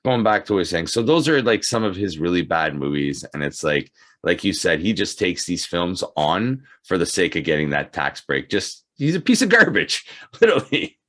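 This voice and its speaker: A man's voice: low voice, very animated, moderate pace, a bit bright. A man hosting a casual chat in a conversational, agreeable way. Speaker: host.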